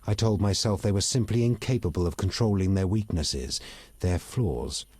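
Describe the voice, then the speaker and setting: A man playing a proud, bitter elf rebel in a video game, articulate and measured, with open disdain. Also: low voice, darkish tone, husky, dry recording. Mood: bitter, reflective. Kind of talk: video game dialogue acting.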